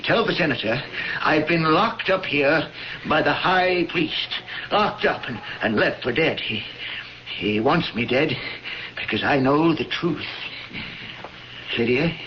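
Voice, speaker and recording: An actor playing a greedy, cowardly tavern keeper, stammering and pleading breathlessly in a radio drama. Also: male voice, on the high side, slow. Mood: urgent, wheedling. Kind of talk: 1970s radio drama acting. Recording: muffled, noisy old radio recording.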